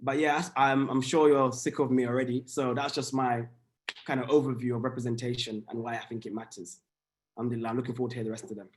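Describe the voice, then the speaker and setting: Man at medium pitch, a casual panelist on a video call, informal and self-deprecating. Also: little pitch movement, fast talker. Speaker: panel speaker. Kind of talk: casual talk.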